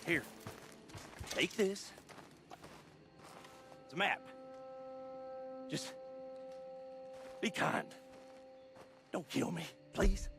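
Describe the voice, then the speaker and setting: An actor playing a frightened, pleading man in a western video game, speaking haltingly to placate someone. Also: high-pitched, exaggerated voice. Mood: scared, pleading. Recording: loud music under the voice. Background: music.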